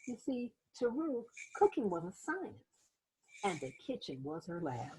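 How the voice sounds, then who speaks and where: A woman reading a children's picture book aloud as host, in an expressive storytelling manner with little asides to young listeners. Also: mid-range voice, unhurried, a little hiss or hum.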